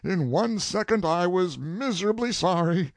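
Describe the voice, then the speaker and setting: A high, gravelly old country man, as a male reader puts him on, pours out a raging, anguished confession in a storybook reading. He talks slowly and forcefully in folksy rustic dialect. The sound is dry and close. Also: expressive, no room sound. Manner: slow, forceful, impassioned.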